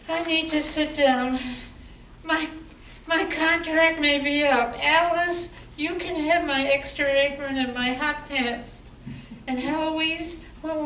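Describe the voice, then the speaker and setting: A woman playing a wounded barmaid, halting and theatrical, in an amateur comic radio play. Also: high-pitched, fairly bright tone. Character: wounded barmaid making an overwrought comic farewell. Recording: distant echoey room, faint noise.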